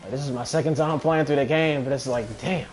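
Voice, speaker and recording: A young man talks through a video game as he plays, in a mid-pitched voice. His commentary is casual, chatty and peppered with swearing. Game music runs faintly behind him. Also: steady tone, fast talker. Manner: profane, offhand, chatty. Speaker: gaming video host.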